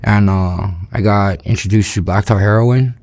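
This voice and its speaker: A man of thirty from Hawaii, calmly telling his life story in an interview. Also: lowish voice, normal speed. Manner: steady, matter-of-fact storytelling. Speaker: interview subject.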